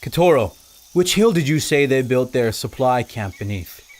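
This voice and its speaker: A noble island ruler, voiced by a man, who plans aloud in a grave, deliberate way. His voice is mid-pitched and steady. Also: natural voice. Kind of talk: old-time radio drama acting.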